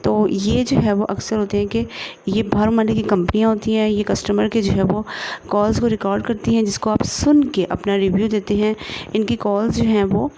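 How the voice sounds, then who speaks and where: Monotone Pakistani woman, noisy recording. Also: medium pitch. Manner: halting, repetitive filler phrases. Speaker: tutorial presenter. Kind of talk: informal screen-share tutorial.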